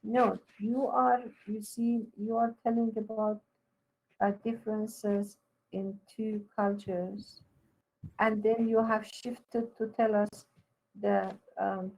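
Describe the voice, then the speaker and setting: A woman teacher giving halting, corrective and instructive one-to-one feedback on a student's writing. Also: mid-range voice, level tone, a little mellow, poor audio.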